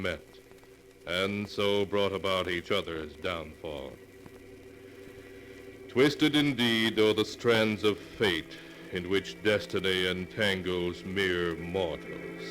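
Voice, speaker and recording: A man narrating a 1940s radio show in a measured, portentous manner. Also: low-pitched, a bit bright, poor audio. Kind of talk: scripted narration. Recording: old radio broadcast with faint hiss.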